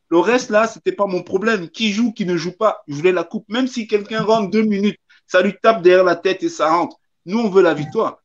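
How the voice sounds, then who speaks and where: Emphatic man on a dull video call. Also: high-pitched. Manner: emphatic and animated, repeats his points. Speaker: former footballer, guest. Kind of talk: casual interview on a video call. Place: indoors, small room. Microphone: phone or webcam on a video call.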